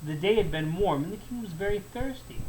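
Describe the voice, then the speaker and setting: Male storyteller, fairly high voice, dramatic folktale narration, expressive, deliberate, faint noise behind. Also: rough recording.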